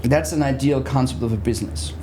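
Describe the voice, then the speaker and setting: Mellow-voiced man in an interview. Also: medium pitch, a little fast, a little hiss or hum. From Austria. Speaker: interview guest, business therapist. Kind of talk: conversational interview talk.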